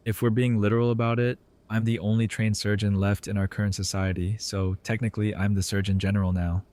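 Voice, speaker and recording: Low-voiced actor as a wry young doctor. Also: male voice, little pitch movement, a little fast, a little mellow, natural voice. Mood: dry teasing. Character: wry, earnest young male doctor, loyal teasing friend. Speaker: voice actor in a fan audio drama. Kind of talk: conversational audio drama acting.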